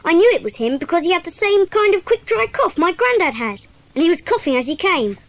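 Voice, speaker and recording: A woman's voice: extremely high pitch, big pitch swings, brisk, no room sound, exaggerated voice. A woman playing an eager, earnest schoolboy sleuth who gives detailed reports in a children's audio drama. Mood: earnest, puzzled.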